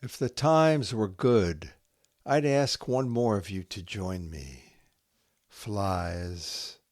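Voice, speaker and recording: Male poetry host, unhurried and deliberate, lowish voice, long pauses between short phrases. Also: no echo, studio quality. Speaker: podcast host reading poetry. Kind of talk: measured poetry reading and scripted narration.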